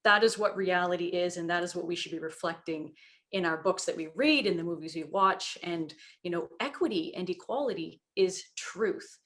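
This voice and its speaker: A woman speaking earnestly on a panel discussion about children's books, reflective and steady, with frequent "you know" fillers. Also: lowish voice, normal speed. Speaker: children's book creator on a panel.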